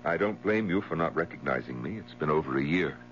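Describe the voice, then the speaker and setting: A deep, animated, courteous lawyer. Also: male voice, a little fast. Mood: uneasy. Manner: courteous, hesitant. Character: polite, awkward lawyer and family friend. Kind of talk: 1970s radio drama acting. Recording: muffled 1970s radio recording with hum.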